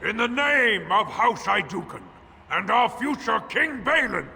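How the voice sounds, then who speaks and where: A man's voice: sits high, lively intonation, a little slow, vocal fry, bright, forceful tone, full character voice. An actor playing a defiant, loyal male dwarf warrior in a fantasy game, speaking in a formal, oath-swearing manner. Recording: long hall reverb.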